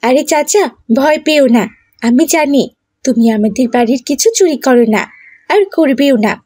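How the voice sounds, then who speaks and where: A woman's voice: sits high, moderate pace, darkish tone, no room sound, studio quality, close to an everyday voice. A woman voicing a mischievous, scheming young village girl in a cartoon, with a wheedling, bossy way of talking.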